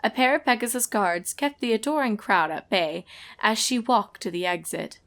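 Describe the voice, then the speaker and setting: A woman reading scripted storybook narration in a dramatic, expressive manner. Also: on the high side, moderate pace.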